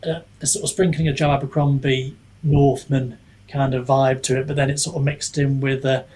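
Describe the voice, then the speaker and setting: A man with a husky, slightly rough voice at a medium pitch talks as a guest in a casual discussion. He is reflective and often hedges, restarting his thoughts as he works them out aloud. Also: level tone, normal speed, a little mellow. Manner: reflective, hesitant, hedging, thinking aloud. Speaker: podcast guest, author and book reviewer.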